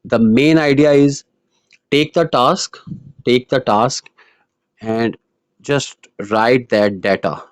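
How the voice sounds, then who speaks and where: A man's voice: medium pitch, slow, long pauses, no room sound. Earnest male IELTS tutor, Urdu native. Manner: earnest, explanatory, many fillers and restarts. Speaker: IELTS teacher. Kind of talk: online lesson monologue.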